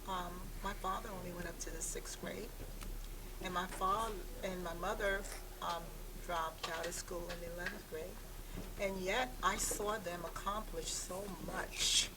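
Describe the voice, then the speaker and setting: A woman in her sixties sharing personal stories as a panelist at a live event. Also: fairly low pitch. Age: 60s. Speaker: panelist, game inventor. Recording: distant mic, clear background noise.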